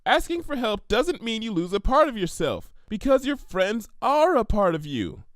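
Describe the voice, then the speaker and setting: Man putting on a high, bubbly girl cartoon pony. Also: sing-song, moderate pace, dry recording, clean studio sound. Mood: earnest, caring. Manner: bubbly, sing-song, gushing. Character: perky, earnest young female cartoon pony, bubbly and sympathetic.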